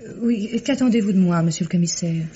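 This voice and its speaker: A woman's voice: fairly low pitch, fast, mellow, close to an everyday voice. A woman playing a refined, guarded clinic directress in a 1950s French radio drama, speaking formally and hesitantly. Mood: guarded, regretful. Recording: dull, faintly noisy vintage radio recording.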